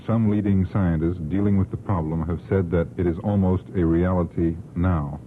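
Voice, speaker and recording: A man on a radio panel putting careful, measured questions to a guest. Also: sits low, steady tone. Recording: muffled, noisy old radio broadcast tape.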